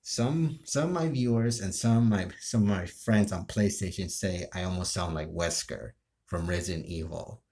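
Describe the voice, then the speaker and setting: A man chatting casually to his viewers as a video creator, rambling and circling back over halting explanations. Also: low-pitched, gravelly, a little mellow, a little hiss or hum. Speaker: video creator and amateur role-player.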